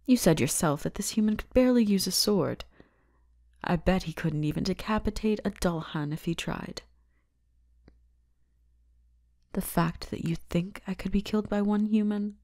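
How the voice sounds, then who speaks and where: A woman playing a commanding, teasing demon lord's daughter in an intimate ASMR roleplay, soft-spoken and unhurried, at times whispering. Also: on the low side, dry recording, clean studio sound, lightly acted. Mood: confident, offended. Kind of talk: ASMR roleplay, intimate scripted character acting.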